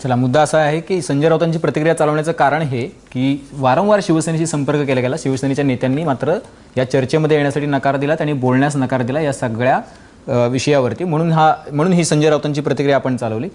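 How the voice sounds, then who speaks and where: A man's voice: fairly high pitch, fairly even intonation, fast talker, darkish tone. A man moderating a live news debate as host, pressing his guests with questions.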